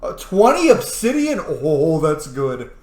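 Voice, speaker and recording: Animated, higher-voiced man doing gameplay commentary. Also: slow pace, reverberant. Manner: chatty, sweary, excitable. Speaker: gaming video host. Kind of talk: casual let's play commentary.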